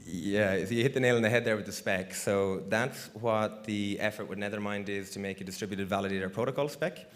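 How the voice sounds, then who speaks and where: Low-voiced man giving a loose, casual conference talk. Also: brisk, few pauses. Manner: casual, loose, full of fillers like "you know" and "like". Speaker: conference speaker. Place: large conference hall stage.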